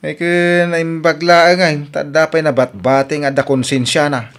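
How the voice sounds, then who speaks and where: A man's voice: fairly high pitch, little pitch movement, moderate pace, a bit bright, clean tone. A man acting a jobless, evasive and comically irritable village husband in an Ilocano radio comedy, with an Ilocano accent. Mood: placating.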